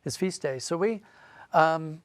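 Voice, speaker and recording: A man speaking as an interview guest, a writer explaining and telling stories in an animated, conversational way. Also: on the high side, measured pace, no echo.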